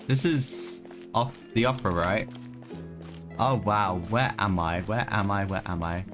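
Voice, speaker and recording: Gamer girl streaming, rambly n slangy, reacting out loud, faint music behind. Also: a bass voice, measured pace. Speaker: game streamer. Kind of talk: casual live gameplay commentary. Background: game music.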